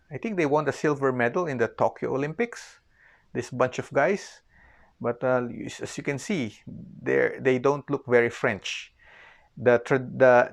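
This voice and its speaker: A man's voice: medium pitch, vocal fry, a bit bright. A man with a Filipino accent giving an informal explanatory history lecture, repeating phrases for emphasis and often checking in with "okay". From Philippines.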